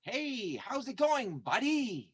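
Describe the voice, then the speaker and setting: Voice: mid-range voice, very animated, slow, husky, exaggerated voice. An actor playing a cheerful newlywed vaudeville performer with folksy, encouraging buddy talk in an improvised radio comedy. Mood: excited, happy.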